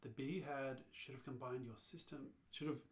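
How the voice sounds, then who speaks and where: A man voicing a brisk, unfazed alien hitchhiker who guides a confused friend, in a radio play read-through. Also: mid-range voice, steady tone, natural voice. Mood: matter-of-fact. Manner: matter-of-fact, unfazed.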